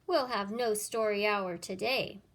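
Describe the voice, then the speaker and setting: A woman's voice: medium pitch, measured pace, natural voice. A woman voicing a stern, unpleasant female teacher from a children's storybook, snapping and hissing bossy commands. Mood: strict, snapping.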